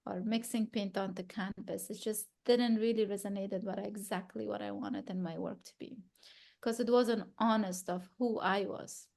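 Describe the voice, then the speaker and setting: A woman's voice: medium pitch, fairly even intonation, normal speed, creaky, a little mellow. Reflective woman artist with restarts. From Afghanistan. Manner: thoughtful, searching for words, frequent restarts. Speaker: exhibiting artist. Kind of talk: gallery artist talk.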